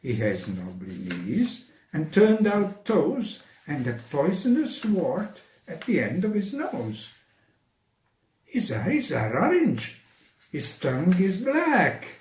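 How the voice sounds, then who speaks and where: An older man reading a children's story aloud in an expressive, theatrical manner. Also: on the high side. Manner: slow, theatrical, animated. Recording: roomy, distant microphone.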